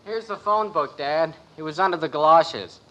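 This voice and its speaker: An actor playing an easygoing, laconic teenage son in a 1950s American radio sitcom, slangy and matter-of-fact. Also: male voice, fairly high pitch, normal speed, a bit bright, natural voice. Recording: noisy, distant vintage radio recording.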